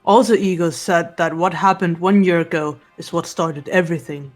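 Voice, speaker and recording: A woman plays a blunt, analytical girl from a game. Her voice sits on the low side and stays deadpan and businesslike. There is faint music behind it. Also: normal speed, natural voice. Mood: cool, businesslike. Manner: deadpan, terse. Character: cool, blunt, analytical teenage girl detective. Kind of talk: amateur fan voice acting of a game.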